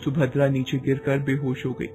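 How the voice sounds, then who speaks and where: A man's voice: medium pitch, completely flat delivery, moderate pace, mellow, soft background music. A man narrating a scripted moral story in a dramatic storytelling manner.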